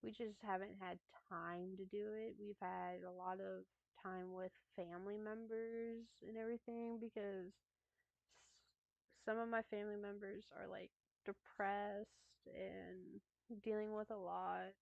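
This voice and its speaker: Woman just chatting on stream, slow talker, tinny lo-fi phone sound. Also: medium pitch, flat delivery, creaky, no room sound. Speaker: livestreamer. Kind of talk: casual livestream chat. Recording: thin phone-like lo-fi audio.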